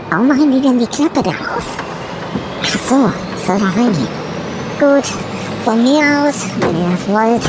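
A woman playing a grumbling hungry young dog. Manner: grumbling inner monologue, wild pitch swings. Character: hungry, proud young male shepherd dog. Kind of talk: comedy audio sketch. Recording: clear background noise.

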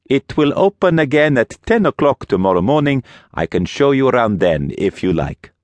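A man's voice: mid-range voice, big pitch swings, normal speed, no echo, voice pushed up, close to an everyday voice. Man playing a courteous, uneasy old priest. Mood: polite. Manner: courteous, apologetic, guarded. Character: polite, anxious old Italian village priest.

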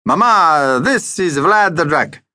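Man as large Greek male shopkeeper, storybook figure, proud, hospitable, emphatic, animated pitch, high voice. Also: slow pace, no echo, studio quality, full character voice. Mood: honored, eager. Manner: emphatic, exclamatory short sentences.

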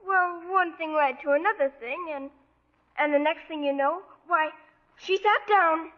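A woman's voice: high voice, a little slow. A young actress playing a cheerful, plucky, chatty little girl in a radio drama. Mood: earnest. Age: child performer. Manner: earnest, chatty, bossy little helper. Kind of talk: 1940s radio drama acting. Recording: muffled vintage radio broadcast.

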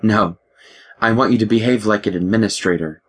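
A man reading an audiobook, voicing a wary young man who talks quietly and teases with a guarded, wry tone. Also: lowish voice, brisk, breathy edge. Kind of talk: audiobook character voice.

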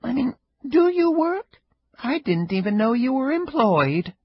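A man voicing a frail, stubborn elderly grandmother with a sharp tongue, in a husky raised voice. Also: extremely high pitch, sing-song, a little slow, slight background noise, pitched above the performer's own voice, exaggerated voice. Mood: tart. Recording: dull lo-fi recording.

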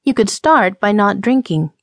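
A woman's voice: mid-range voice, animated, unhurried, no echo. A woman voicing a prim, easily scandalized Old West temperance lady with proper, formal speech, in an audiobook. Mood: prim, indignant.

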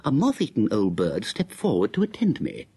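An actor playing an excitable, amiable, dim upper-class English gentleman in a radio comedy, breezy and exclamatory. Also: fairly low pitch, normal speed, creaky, breathy edge, full character voice. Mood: wry, exasperated. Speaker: actor playing lead and narrator.

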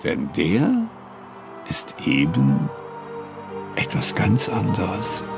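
A man narrating a fairy tale in a calm, storytelling audiobook reading. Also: sits low. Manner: slow, lively storytelling with gravelly tone. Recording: studio read with clear music bed. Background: music.

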